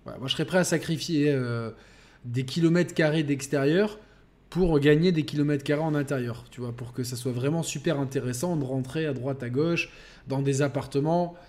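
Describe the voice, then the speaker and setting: A man's voice: on the high side, moderate pace, darkish tone. A man hosting a podcast, musing enthusiastically in casual chat, with many fillers and restarts. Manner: enthusiastic, hesitant with many fillers, thanks donors. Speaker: podcast host.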